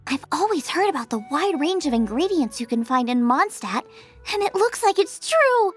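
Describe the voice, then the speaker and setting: A woman voicing an eager, bubbly young girl chef in a video game, with a girlish voice and an excitable, chirpy delivery. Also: normal speed, soft background music. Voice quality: high, girlish. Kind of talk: video game character acting.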